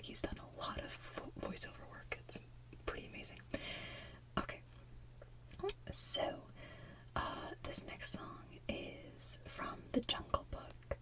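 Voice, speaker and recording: A woman hosting ASMR, chatting casually in a hushed, breathy whisper with an unhurried, rambling manner. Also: far from the mic, rough recording. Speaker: ASMR channel host.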